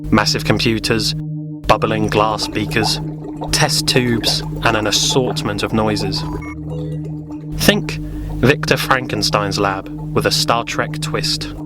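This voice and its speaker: A man's voice: on the low side, steady tone, takes it slowly, over loud music. A man giving scripted narration for an audio drama parody.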